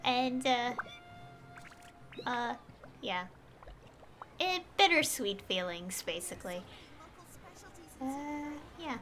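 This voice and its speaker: High, bright-voiced woman streamer. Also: lively intonation, extremely slow, pauses often. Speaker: game livestreamer. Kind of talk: casual livestream commentary. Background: game music.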